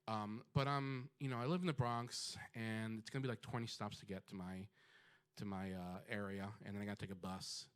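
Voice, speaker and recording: Man, from puerto rico, halting storyteller, low voice, small stage. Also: little pitch movement, clear, resonant tone. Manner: hesitant, many ums and restarts. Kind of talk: live personal storytelling on stage. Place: small dark stage, standing.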